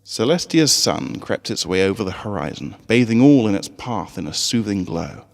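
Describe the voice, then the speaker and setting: A man reading a story aloud as an expressive narrator, with a long hall-like reverb on the recording. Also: on the low side, normal speed, gravelly. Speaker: fan fiction reader.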